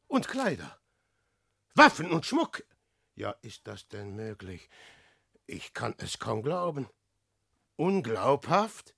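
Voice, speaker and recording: An actor playing a boastful, lecherous adventurer posing as a prophet in a verse radio play, declaiming theatrically between musing and crowing. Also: male voice, high-pitched, fairly bright tone, slightly rough, full character voice. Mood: excited, grasping.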